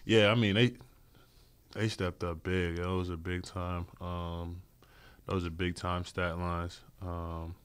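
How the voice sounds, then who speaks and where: A young man, a basketball player, answering postgame questions at a press conference in a low-key, hesitant way with many fillers, speaking into a desk mic. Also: little pitch movement, slow, a bit bright, clean tone. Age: young adult. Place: press room with logo backdrop. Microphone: desk mic on stand.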